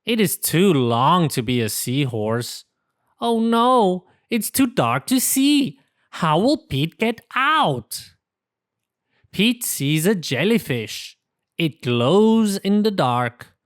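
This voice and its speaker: A woman's voice: on the low side, very animated, takes it slowly, no room sound, studio quality. A woman reading a picture book aloud to young children, enunciating simple sentences carefully. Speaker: children's story reader.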